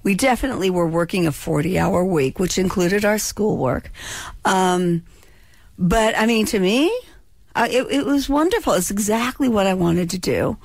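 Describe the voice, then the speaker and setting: A woman's voice: mid-range voice, normal speed, a little mellow, dry recording, clean studio sound. A woman in her sixties from California, a former child actor chatting and joking as a radio guest, with a slight lisp. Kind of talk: casual radio interview chat.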